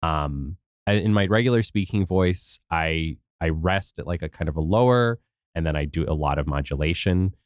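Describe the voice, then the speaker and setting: A voice actor chatting casually on a podcast Q&A, a little congested from a cold, rambling with restarts. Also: male voice, sits low, normal speed, dry recording, clean studio sound.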